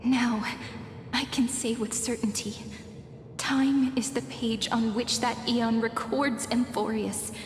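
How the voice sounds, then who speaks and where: High, echoing, wistful young storyteller girl. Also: steady tone, slow pace. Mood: solemn. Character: gentle, wistful young female storyteller in fantasy game. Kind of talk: dramatic fantasy game dialogue. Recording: echoey long reverb over music.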